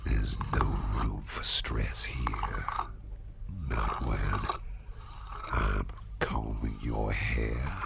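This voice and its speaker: Guy doing a gentle boss asmr thing, super gravelly breathy whisper, soothing, clear background noise. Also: extremely low pitch, heavily acted. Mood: soothing, tender. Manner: soothing, slow, murmuring. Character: gentle, doting businessman boss with talons. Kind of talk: ASMR roleplay, personal attention.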